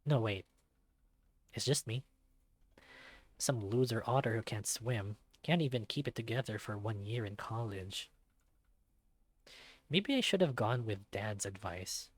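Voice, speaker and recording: A man's voice: lowish voice, expressive, measured pace, pauses often, no echo. A man voicing a self-deprecating young male otter, narrating a visual novel in the first person with wry self-mockery. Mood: wry, matter-of-fact.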